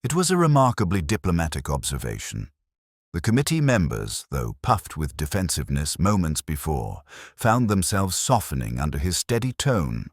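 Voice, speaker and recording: A man's voice: deep voice, huge pitch swings, moderate pace, no room sound, clean studio sound. A man giving scripted narration of a village mystery story.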